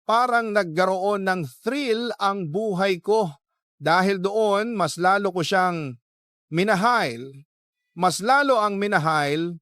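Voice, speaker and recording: A man hosts and narrates a romantic radio drama. His voice is high for a man and recorded dry and close. He delivers the script in deliberate, emotive short phrases. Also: fairly bright tone, clear, resonant tone, dry recording.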